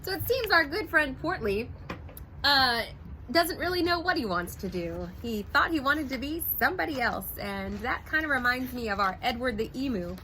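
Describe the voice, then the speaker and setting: Expressive woman teacher reading aloud. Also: sits high, a little hiss or hum, low-quality recording. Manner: slow, expressive storytelling voice. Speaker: first grade teacher. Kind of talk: reading a picture book aloud to children.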